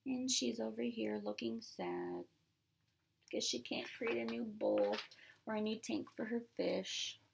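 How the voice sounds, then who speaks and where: Animated gravelly-edged woman reading a picture book. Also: fairly high pitch, a little slow, noisy background. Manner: expressive, simple explanatory asides to young listeners. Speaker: pre-K teacher reading aloud. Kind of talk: picture-book read-aloud with asides to children.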